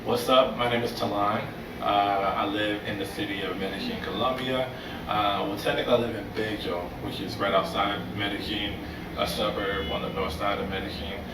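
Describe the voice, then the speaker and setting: A man with a lowish voice recounts his experience in a relaxed way. He sounds far from the mic in an echoey space, with clear background noise. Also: normal speed. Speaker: subscriber sharing his story. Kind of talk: casual personal account.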